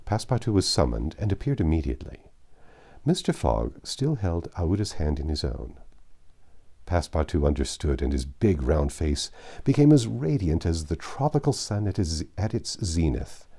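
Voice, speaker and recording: Low mellow guy reading an old novel out loud, expressive storyteller type. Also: moderate pace, slightly rough. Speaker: audiobook narrator. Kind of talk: classic novel read-aloud narration.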